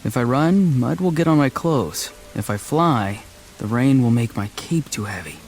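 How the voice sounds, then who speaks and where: An actor voicing a dreamy, awkward, gentle young man in a fantasy game, musing and hesitant in a deadpan way. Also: mid-range voice, moderate pace, faint music behind, close to an everyday voice. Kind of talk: scripted game character voice lines.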